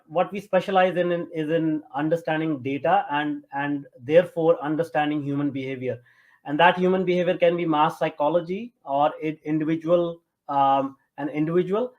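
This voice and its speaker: A man from Punjab with a North Indian accent, answering as an interview guest in a halting, conversational way with many fillers. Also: fairly high pitch, muffled recording. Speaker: interview guest, tech entrepreneur.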